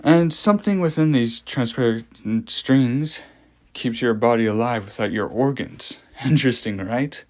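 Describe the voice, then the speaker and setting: Guy doing a creepy fascinated scientist on a horror log, medium voice, lecturing with dramatic pauses. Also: mid-range voice, darkish tone, natural voice. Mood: fascinated, ominous. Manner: measured lecturing with dramatic pauses and rhetorical questions. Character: male scientist, morbidly fascinated by monstrous anomaly. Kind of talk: fictional horror audio log, explanatory monologue.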